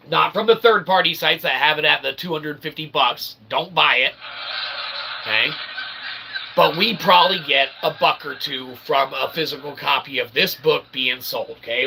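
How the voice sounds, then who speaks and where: A man's voice: fairly high pitch, gravelly, a little hiss or hum, rough recording. A man delivering a rambling, opinionated monologue as an author and channel host, wordy and emphatic, with sweary pseudo-philosophical phrasing. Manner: verbose pseudo-philosophical phrasing, sweary, repeatedly checking "okay?"; emphatic, bursts into shouting.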